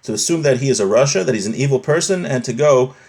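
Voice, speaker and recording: A man's voice: medium pitch, lively intonation, quick pace, creaky, a little mellow. Male religion teacher, mid-range voice. Informal text lesson, moves between Hebrew quotation and plain explanation, checking in with questions.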